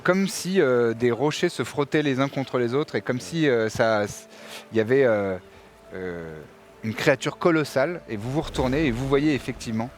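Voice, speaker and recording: A man running a tabletop game, narrating and explaining rules in a halting way with many fillers. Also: mid-range voice. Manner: halting, many fillers and restarts while describing. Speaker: game master.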